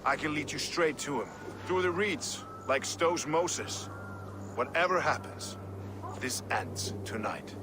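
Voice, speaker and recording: High-voiced, determined medieval war guide. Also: male voice, lively intonation, slow, background noise. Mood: grave resolve. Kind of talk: video game cutscene acting. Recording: loud music behind the voice.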